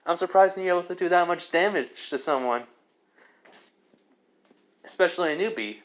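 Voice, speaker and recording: A man voicing a curious, teasing young woman from an anime story in a measured way. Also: high-pitched, pitched above the performer's own voice, natural voice. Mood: impressed.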